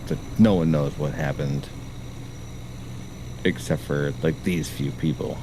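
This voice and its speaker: A man's voice: a bass voice, measured pace. A man from the Boston area, chatting casually while gaming, filling his talk with "like" and explaining at length. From Boston area, USA. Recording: steady background noise.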